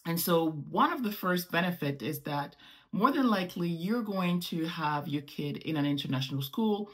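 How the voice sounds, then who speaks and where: A woman's voice: fairly low pitch, moderate pace. A woman in her thirties from Morocco, with French as her first language, talking casually to camera at home as a vlogger and gesturing as she explains. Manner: animated, gestures with hands while explaining. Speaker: travel vlogger. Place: small home room indoors.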